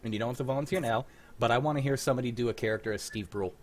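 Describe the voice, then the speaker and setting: A man's voice: mid-range voice, very fast. A man hosting a casual group chat in a joking, rambling, freely swearing way. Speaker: host of a livestreamed script-reading show.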